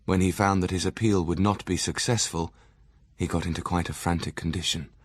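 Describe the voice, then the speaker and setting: Period audio drama: a grave, stern doctor, low husky voice, measured diary delivery. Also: male voice, steady tone, no echo, studio quality. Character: stern male asylum doctor recounting his diary.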